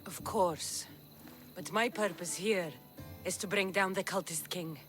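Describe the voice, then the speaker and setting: Bold spartan warrior daughter, game cutscene, big reverb and music behind. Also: female voice, lowish voice, measured pace, fairly bright tone. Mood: determined. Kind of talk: video game dramatic acting.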